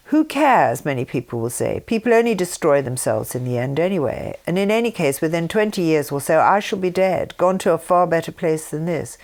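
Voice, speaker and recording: A woman's voice: fairly low pitch, a little mellow. An older woman reading written messages aloud with informal asides, speaking in an earnest way. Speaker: reader and speaker.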